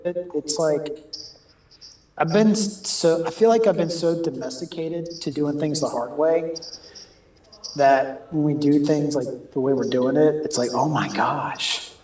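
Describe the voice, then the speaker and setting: Guy with a cold, kinda raspy, rambly gamer chat, slightly high voice, echoey room. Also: mellow. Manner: chatty, rambling, laughs often. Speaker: raid member on voice chat. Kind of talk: casual gaming voice chat.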